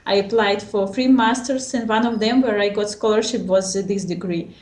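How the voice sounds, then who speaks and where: A woman's voice: mid-range voice, a little mellow. Russian-accented woman in an echoey room. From Siberia, Russia. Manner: hesitant, fills pauses with "uh" and "well". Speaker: master's graduate. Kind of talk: casual interview.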